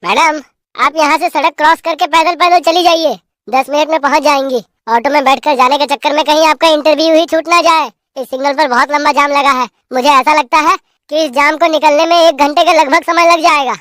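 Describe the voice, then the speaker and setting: Woman as a high-voiced polite rickshaw driver. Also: fairly even intonation, a little fast, a bit bright, no room sound, clean studio sound. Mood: helpful. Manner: deferential, explanatory. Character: polite, helpful male auto-rickshaw driver.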